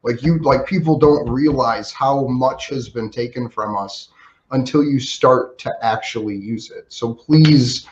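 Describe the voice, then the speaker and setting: A man's voice: mid-range voice, a little slow. Earnest man on roomy, muffled call. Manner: earnest, persuasive. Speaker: activist guest. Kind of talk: casual livestream chat. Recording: roomy, dull video call audio.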